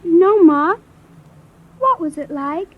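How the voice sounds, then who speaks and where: Very high-pitched sulky girl. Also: expressive, slow, heavily acted. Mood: curious, earnest. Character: sulky, inquisitive young daughter. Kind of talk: old-time radio drama acting. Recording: thin, phone-like vintage radio.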